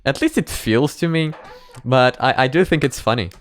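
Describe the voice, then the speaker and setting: A man's voice: mid-range voice, lively intonation, moderate pace, faint music behind. A man hosting a reaction video, giving casual, conversational commentary.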